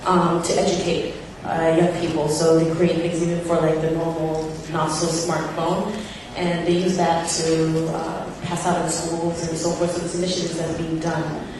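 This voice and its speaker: A woman's voice: sits low, level tone, normal speed. A woman from Tanzania giving informal, unscripted remarks as a participant in a conference discussion. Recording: roomy, distant mic, noisy hall.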